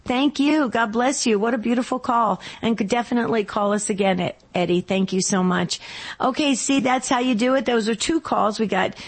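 A woman's voice: on the high side, normal speed, husky. A woman with a slightly scratchy voice hosting a radio call-in show in a chatty, casual, effusive way.

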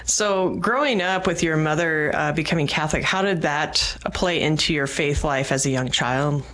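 Brief: female studio host, somewhat low pitch, encouraging. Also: normal speed, a bit bright. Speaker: radio show host. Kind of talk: interview hosting.

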